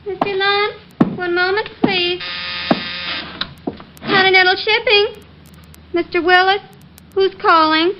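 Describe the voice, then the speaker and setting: Very high-voiced polite receptionist. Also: female voice, steady tone, slow, pauses often. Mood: polite, prim. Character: polite, efficient female office receptionist. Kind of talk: old-time radio drama acting. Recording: dull vintage radio, faint hiss.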